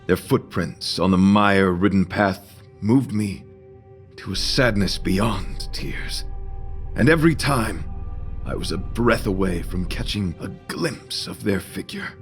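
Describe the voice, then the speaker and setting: An actor voicing a noble, courtly knight devoted to beauty, speaking formal, florid lines earnestly in a theatrical video game style. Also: male voice, deep voice, a little slow, soft background music. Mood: solemn reverie.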